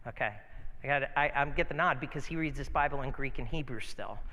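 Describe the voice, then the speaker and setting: A man's voice: medium pitch, fairly quick, pushed and bright. A man with an American accent preaching conversationally, mixing Bible readings with informal stories and rhetorical questions. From northeastern United States. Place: large reverberant hall, live sermon. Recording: long hall reverb.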